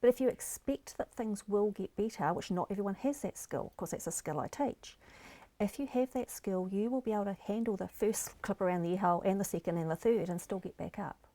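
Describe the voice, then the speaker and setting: A middle-aged woman with a New Zealand accent explains resilience in an earnest, encouraging way. Her voice sits at an ordinary pitch. The recording is dry and close, with no room sound. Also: brisk, warm tone, no echo. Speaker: guest, happiness coach. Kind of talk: interview conversation.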